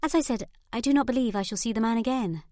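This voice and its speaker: A woman's voice: a little high, fast, darkish tone, no room sound, studio quality, voice pushed up, close to an everyday voice. A woman voicing a shy, anxious young woman in an audiobook, speaking politely and with care. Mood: polite, guarded.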